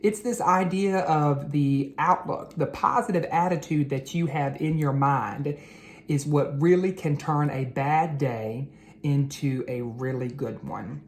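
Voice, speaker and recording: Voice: medium pitch, a little slow. A read-aloud host narrating storybooks and talking to children in an encouraging, teacherly way.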